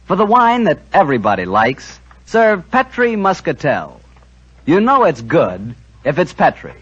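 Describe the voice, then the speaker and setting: Folksy radio announcer, husky. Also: male voice, on the high side, wildly swooping pitch, a little slow, fairly bright tone. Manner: smooth, folksy, persuasive. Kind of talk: scripted radio ad read. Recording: dull old radio broadcast.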